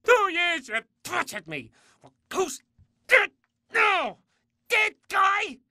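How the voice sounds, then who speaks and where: High, bratty undead runt sidekick. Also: male voice, slow, gravelly, no echo, studio quality, full character voice. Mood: gleeful, vengeful. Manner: bratty, excitable. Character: feisty, foul-mouthed male undead runt sidekick. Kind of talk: video game cutscene acting.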